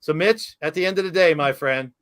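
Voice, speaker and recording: A man's voice: a little high, a little fast, clean tone. A middle-aged man hosting a talk show interview, chatting casually in an enthusiastic, emotional, rambling way.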